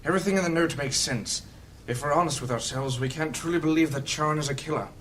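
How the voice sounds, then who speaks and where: A man playing an earnest, level-headed adventurer in a fantasy audio drama, speaking deliberately. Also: fairly high pitch, fairly bright tone, distant mic, close to an everyday voice. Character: reasoning, earnest male adventurer in a fantasy party. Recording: faint background noise.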